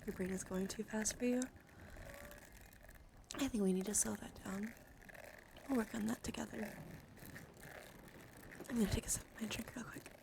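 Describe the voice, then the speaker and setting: Female ASMR livestream host: soothing, intimate whispery delivery, creaky and slightly strained morning voice, close mic with audible background noise. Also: medium pitch, fairly even intonation, normal speed, pauses often. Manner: hushed, often dropping into whispers; gentle, soothing, affectionate. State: voice slightly strained from just waking up. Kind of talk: soft ASMR, chatting to live viewers.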